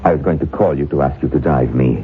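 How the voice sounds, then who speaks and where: A man's voice: extremely low pitch, fast talker, slight background noise. An actor playing a suave, sinister, effusively polite older man in a 1970s radio drama. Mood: smooth, delighted. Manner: effusive, courtly, genteel phrasing. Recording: muffled vintage radio broadcast, faint music.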